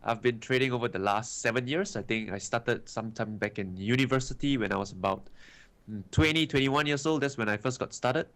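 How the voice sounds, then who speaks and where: A man's voice: mid-range voice, brisk, fairly bright tone. A man in his twenties with a Singaporean English accent, chatting casually as an interview guest and dropping frequent "right" and "you know" tags. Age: 20s. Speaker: trading educator, guest.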